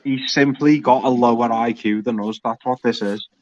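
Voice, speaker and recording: A man hosting a live stream, crude and excitable, mocking as he talks. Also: mid-range voice, moderate pace, no room sound, muffled recording. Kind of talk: casual banter on a live stream.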